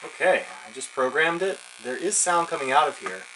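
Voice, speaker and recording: A man's voice: a little high, sing-song, fairly quick, sharp tone, slight background noise, poor audio. A man informally narrating a hands-on electronics demonstration, musing to himself as he works at his bench. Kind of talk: casual hands-on demonstration, thinking aloud. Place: cluttered indoor electronics workbench.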